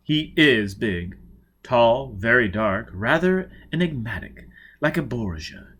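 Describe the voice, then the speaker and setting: A man voicing an iron-hard patrician father in an audiobook, calm, formal and protective. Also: mid-range voice, takes it slowly, slightly rough, heavily acted. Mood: composed, admonishing. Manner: calm, formal, gently admonishing.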